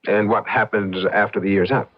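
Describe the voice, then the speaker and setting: A man's voice: lowish voice, little pitch movement, a little fast. An actor in an old radio drama playing an ambitious, impatient, slick husband turned rich businessman. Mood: wary, bargaining. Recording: dull lo-fi radio drama recording.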